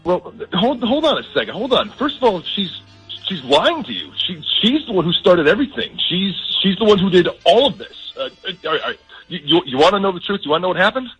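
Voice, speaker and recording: Husky, halting man on a call. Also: sits high, sing-song, a bit bright, faint music behind, rough recording. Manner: halting, repeats words. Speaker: caller on a radio show. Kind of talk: casual phone conversation.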